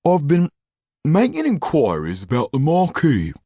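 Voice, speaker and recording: A man's voice: lowish voice, animated, measured pace, darkish tone, no room sound, studio quality, voice pushed up. Man doing a grumbling, greedy informant lad. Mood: gossiping. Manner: growling, grumbling, colloquial. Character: big-headed, greedy, grumbling young man, a lowly informant.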